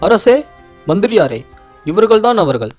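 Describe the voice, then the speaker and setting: A man playing a respectful palace guard in an animated folktale, making loud, formal announcements. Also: high-pitched, normal speed. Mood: dutiful, urgent. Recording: music under dry voice.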